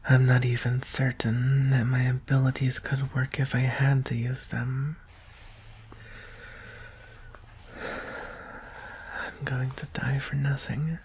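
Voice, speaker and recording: A man playing a gentle, vulnerable young alien prince in an ASMR roleplay, speaking softly and hesitantly, often in a whisper. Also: mid-range voice, slight background noise. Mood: fearful, despairing. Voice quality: soft, breathy, often whispering. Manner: hesitant, earnest, slow with long pauses. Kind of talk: ASMR roleplay, soft intimate acting.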